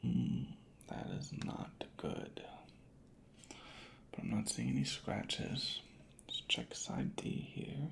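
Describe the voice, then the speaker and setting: A soft-spoken ASMR creator murmuring methodically in a breathy, whispery voice, unhurried and close to the microphone. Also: mid-range voice. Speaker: ASMR creator playing a record inspector.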